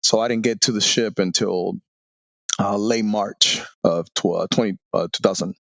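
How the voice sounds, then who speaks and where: A man in his forties with a Dominican Spanish accent, a Spanish native speaker, talking as a Navy veteran guest in a podcast interview, often halting and restarting his sentences. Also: on the low side, vocal fry, no room sound. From Dominican Republic. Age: 40s. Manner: halting, with many restarts and fillers. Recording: dull lo-fi dry sound.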